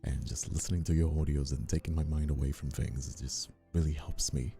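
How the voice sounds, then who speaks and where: Very deep, dark-voiced young man. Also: steady tone, brisk, creaky, faint music behind. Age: 20s. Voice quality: mature-sounding, older than his years. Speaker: ASMR streamer and voice actor. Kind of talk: casual livestream chat.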